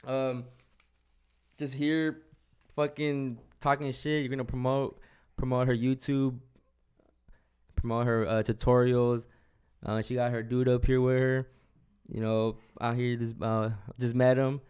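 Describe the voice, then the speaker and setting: A young man from hosting a casual podcast chat, profane and relaxed, laughing often. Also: mid-range voice, a little slow, pauses often, clean tone. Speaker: podcast host.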